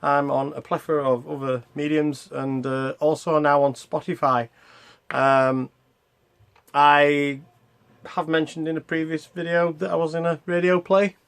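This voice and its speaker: Male retro gaming vlogger, slightly high-pitched, unscripted and chatty, hesitant delivery that wanders and doubles back. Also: level tone, a little slow, vocal fry, fairly bright tone, clean tone. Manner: rambling, hesitant, self-interrupting. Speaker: host of a retro gaming video channel. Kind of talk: casual unscripted vlog chat.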